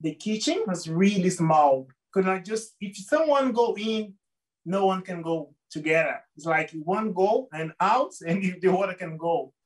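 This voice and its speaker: Man, Brazilian accent, slow and halting, searching for words, slightly distant from the mic. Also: sits high, expressive. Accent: Brazilian Portuguese. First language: Portuguese. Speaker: guest. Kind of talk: personal storytelling interview.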